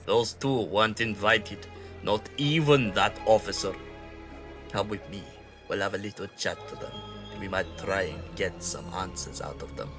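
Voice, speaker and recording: An actor playing a merciless Nazi general in a fan audio drama, speaking in pompous, menacing oratory. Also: male voice, on the low side, moderate pace, music in the background, lightly acted. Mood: suspicious.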